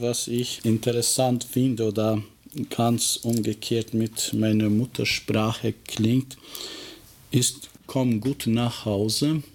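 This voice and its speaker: A middle-aged man with an Albanian accent answers interview questions in German. His low voice stays flat and even, and he speaks slowly, stopping often to find the next word. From Kosovo. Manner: slow, halting, searching for words. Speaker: teacher.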